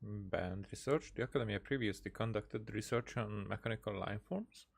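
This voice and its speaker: A man's voice: on the low side, moderate pace, gravelly, breathy edge. A man giving casual live commentary as a game streamer, reading dialogue aloud with hesitant, musing asides.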